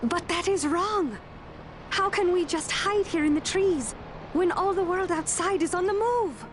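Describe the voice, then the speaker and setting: A woman's voice: extremely high pitch, a little slow. A woman playing an earnest, restless young woman of a woodland race in a fantasy game, with formal, slightly archaic phrasing. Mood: pleading. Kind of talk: dramatic fantasy game acting. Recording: loud orchestral music behind.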